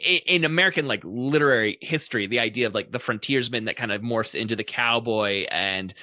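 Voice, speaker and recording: A man's voice: mid-range voice, brisk, bright, forceful tone. A man on a podcast panel, explaining pop culture in a casual, analytical and discursive way.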